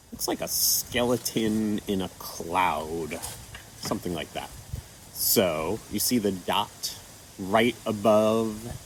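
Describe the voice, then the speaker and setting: A man gently walking children through an activity with patient, step-by-step instructions and a few fillers. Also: lowish voice, slight background noise, poor audio. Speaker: children's storytime presenter. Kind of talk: guiding kids through an activity.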